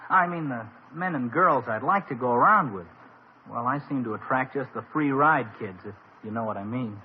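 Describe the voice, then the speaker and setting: An actor playing an eager, shy young college student with an earnest, boyish gee-whiz manner, in 1950s radio comedy style. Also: male voice, medium pitch, normal speed, slight background noise, close to an everyday voice. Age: young adult. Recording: dull lo-fi 1950 radio broadcast.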